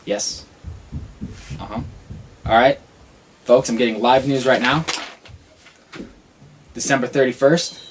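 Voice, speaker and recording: A man's voice: mid-range voice, a little hiss or hum, poor audio. A man playing a news anchor in a casual student skit, loose and stumbling over his words.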